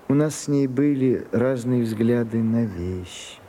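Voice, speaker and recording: An actor playing a shy, prim gentleman in a radio play, confiding haltingly and easily flustered. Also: mid-range voice, close to an everyday voice. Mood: embarrassed, wistful. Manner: hesitant, halting, bashful. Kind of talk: Russian radio play acting. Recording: muffled Soviet-era radio recording, some hiss.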